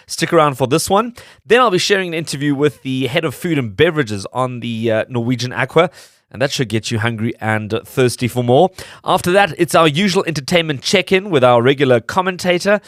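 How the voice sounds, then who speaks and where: A man's voice: mid-range voice, brisk, no echo, clean studio sound. A man hosting a radio show, narrating from a script to his listeners. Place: dry studio.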